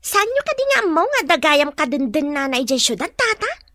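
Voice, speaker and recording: A woman's voice: high-pitched, sing-song, brisk, bright, forceful tone, no echo, clean studio sound, exaggerated voice. A woman playing a nosy, gossipy village woman in an Ilocano audio drama, full of exclamations and questions.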